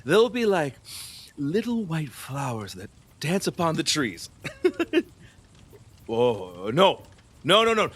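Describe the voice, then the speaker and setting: A man's voice: fairly high pitch, huge pitch swings, slow, long pauses, a bit bright, breathy edge, heavily acted. A male actor playing a decadent, superstitious middle-aged king in an audio drama, grandiose and volatile, moving between murmurs and cries. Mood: delighted, then anxious. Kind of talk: theatrical audio drama acting.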